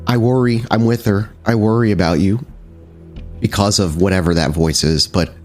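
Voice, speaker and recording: A man voicing a worried, loyal young woman in a tabletop roleplay, halting and blunt, exasperated but affectionate. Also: fairly low pitch, a little mellow, faint music behind, lightly acted. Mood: worried, earnest.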